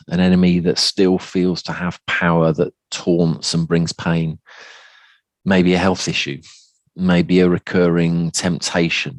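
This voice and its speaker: A man's voice: sits low, no echo, low-quality recording. A man preaching reflectively on a psalm, building his phrases by repetition. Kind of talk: sermon on a psalm.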